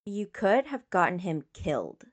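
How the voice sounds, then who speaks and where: A woman voicing a blunt, scolding younger sister with a flat, sharp-tongued delivery in an audio story. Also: medium pitch, a little slow. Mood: flat, stern.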